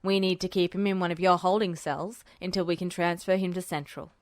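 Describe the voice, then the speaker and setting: A woman's voice: lowish voice, flat delivery, brisk, no room sound, clean studio sound, voice pushed down, close to an everyday voice. A woman voicing a curt, official male military officer in an audiobook-style story. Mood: defensive, official.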